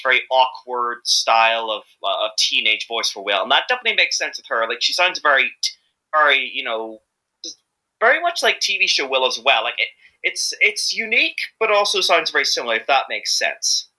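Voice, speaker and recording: A man's voice: mid-range voice, sing-song, fairly quick, bright, forceful tone, rough recording. A man chatting casually as an interviewed amateur voice actor, rambling and often repeating himself hesitantly.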